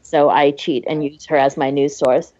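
A woman's voice: low voice, fairly even intonation, moderate pace, darkish tone, dry recording. A woman co-hosting a podcast in casual chat, on a dry, slightly dull recording. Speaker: podcast co-host.

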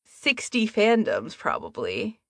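A woman chatting casually as a podcast co-host, informal and quick to interject. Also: medium pitch, huge pitch swings, fairly bright tone, dry recording, studio quality. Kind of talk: casual chat.

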